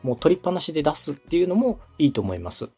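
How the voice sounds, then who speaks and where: A man's voice: a little high, very animated, racing, a little mellow, husky, faint music behind. A man from Osaka speaking as a podcast host, giving polite, organized step-by-step advice in a conversational way. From Osaka, Japan. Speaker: podcast host and radio director advising a beginner.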